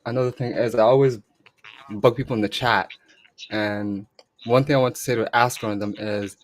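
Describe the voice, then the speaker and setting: A man's voice: on the low side, fairly even intonation, normal speed. A man from Somalia, grown up in Canada, calling in to a podcast and speaking earnestly about his views. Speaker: caller. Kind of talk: casual call-in chat.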